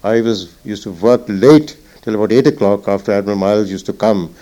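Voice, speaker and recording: An older man, a retired naval officer recalling the past in an interview, reflective and halting with many fillers. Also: fairly low pitch, warm tone. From Punjab. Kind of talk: oral history interview.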